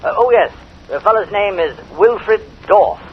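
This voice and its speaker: An actor playing a blustering British army general with clipped, hesitant military speech in a vintage radio drama. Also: male voice, high-pitched, lively intonation, unhurried. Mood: gruff, apologetic. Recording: dull, faintly noisy old radio recording.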